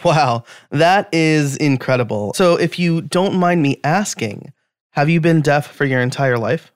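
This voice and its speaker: Higher-voiced male podcast host. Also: animated, a little slow, dry recording, studio quality. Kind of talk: interview questions.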